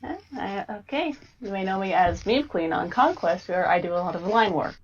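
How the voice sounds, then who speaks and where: A woman's voice: medium pitch, lively intonation, normal speed, slight background noise. A woman chatting casually with friends over a voice call. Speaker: line editor, reaction panelist. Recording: slightly dull voice-call audio.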